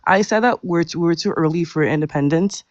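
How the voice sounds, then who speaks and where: A woman's voice: fairly low pitch, brisk, no echo. A woman caller in an online debate, standing her ground and insisting on her view without letting up. Her voice comes through dry, with no room sound, and the audio is dull and lo-fi. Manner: defensive and insistent. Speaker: guest in a live discussion. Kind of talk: livestream debate.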